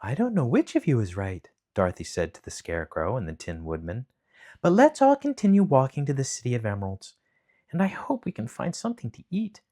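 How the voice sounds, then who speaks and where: A man voicing a brave, practical young girl in a high, earnest voice. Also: huge pitch swings, brisk. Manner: bright, high, earnest storybook voice.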